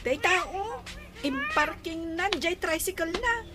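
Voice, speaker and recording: A woman's voice: very high-pitched, animated, slow pace, full character voice. A woman acting a schoolchild talking to parents in a radio comedy-drama, with an Ilocano accent. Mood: eager. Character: schoolchild asking parents for pocket money.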